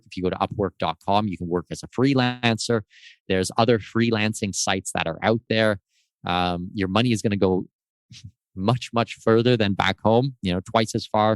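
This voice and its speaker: Low-voiced man explaining residency. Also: no echo. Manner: explanatory, measured, gives lists of examples. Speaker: guest expert on residency and tax. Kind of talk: casual interview answers.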